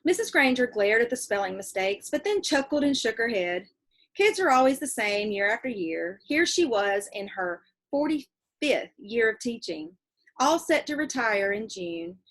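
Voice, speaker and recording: A woman reads a storybook aloud in the manner of a teacher. Her voice sits fairly high and moves up and down in lively fashion, with a husky edge. She is expressive and explanatory, turning to her young listeners as she goes. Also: moderate pace. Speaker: teacher reading a book aloud to pupils. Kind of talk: read-aloud storytelling with asides to children.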